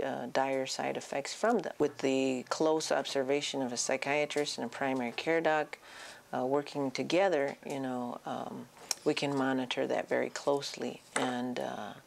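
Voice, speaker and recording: A woman presenting an informal educational medical talk as a doctor, explaining things in a halting way with frequent pauses. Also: very deep voice, gravelly, a little hiss or hum.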